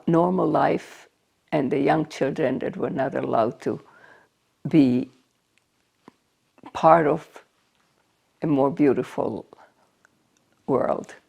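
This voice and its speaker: An older woman from Slovakia giving a short dedication at a remembrance ceremony, word by word with long pauses. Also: low-pitched, gravelly, darkish tone, slightly rough. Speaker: candle lighter at a remembrance ceremony.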